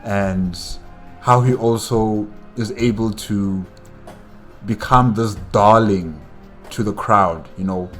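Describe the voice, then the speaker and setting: A man hosting a reaction video, chatting casually with many fillers and laughs. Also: fairly low pitch. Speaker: reaction channel host.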